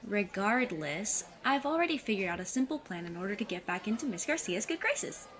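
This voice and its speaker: A woman's voice: medium pitch, sing-song, brisk, a bit bright. A woman playing a plucky, overconfident fifteen-year-old girl sleuth with a cheeky, mock-formal manner in an audio drama. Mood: confident, breezy. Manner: eager, cheeky, mock-formal, self-assured.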